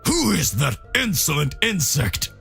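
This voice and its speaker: A haughty alien warrior king, voiced by a man reading an anime story. He is scornful and furious, with a gravelly rasp and big swings in pitch. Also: sing-song, unhurried, sharp tone, soft background music, exaggerated voice. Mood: haughty fury.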